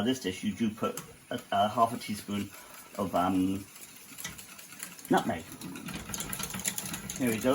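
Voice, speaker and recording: A man's voice: lowish voice, slight background noise, low-quality recording. Chatty Welsh man teaching a recipe. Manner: chatty, with joking asides. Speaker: cafe cook. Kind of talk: cooking tutorial.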